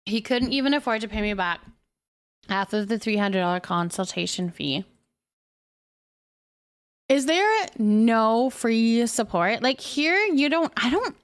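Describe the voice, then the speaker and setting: A woman hosting a live stream, chatting casually with viewers in an animated, expressive, sympathetic and informal way as she reads and answers their comments. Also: medium pitch.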